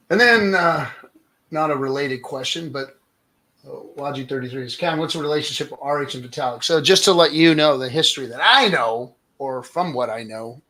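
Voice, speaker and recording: Bright-voiced man hosting a casual livestream. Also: medium pitch. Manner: explanatory, informal. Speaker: livestream host answering viewer questions.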